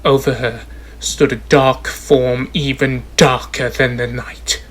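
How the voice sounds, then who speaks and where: A man's voice: mid-range voice, little pitch movement, takes it slowly, a bit bright. A man playing a lawyer who formally and dramatically presents evidence in a radio drama. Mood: dramatic. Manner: formal, declamatory. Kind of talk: stage radio drama narration.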